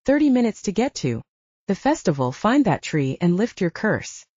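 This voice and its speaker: A woman's voice: mid-range voice, sing-song, moderate pace, darkish tone, husky, no room sound, highs cut off. A woman voicing a wry, bossy but anxious young heroine in an audiobook story. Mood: brisk urging.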